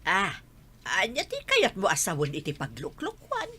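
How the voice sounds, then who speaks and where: A woman's voice: mid-range voice, wildly swooping pitch, normal speed, bright, forceful tone, husky, full character voice. A woman acting an older village mother-in-law in a radio drama, chatty, exclaiming and exasperated.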